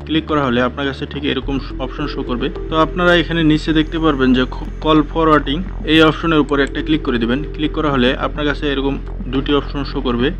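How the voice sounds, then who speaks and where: A man's voice: a little high, steady tone, quick pace, noisy background. A man with a Bangladeshi accent narrating a step-by-step tech tutorial as its host, in an instructional, repetitive way. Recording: dull lo-fi voice-over with music behind. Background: clear background music.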